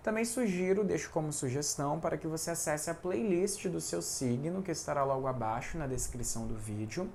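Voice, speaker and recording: A man's voice: on the high side, a little fast, vocal fry, hardly any pauses, darkish tone, a little hiss or hum. A man with a Brazilian accent chatting casually as he reads tarot cards, often tacking on little tag questions. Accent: Brazilian Portuguese. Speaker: tarot reader. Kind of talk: casual tarot reading vlog.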